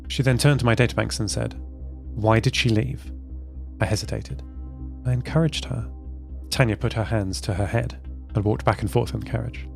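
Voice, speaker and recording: A man's voice: on the low side, gravelly, a little mellow. A man reading scripted first-person story narration for a fiction podcast. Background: music.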